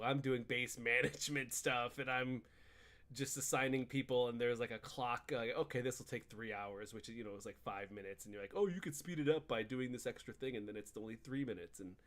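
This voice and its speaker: A man's voice: medium pitch, fairly bright tone. A man co-hosting a podcast, chatting casually about video games in a rambling, slangy bro style and acting out bits of dialogue. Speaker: podcast co-host.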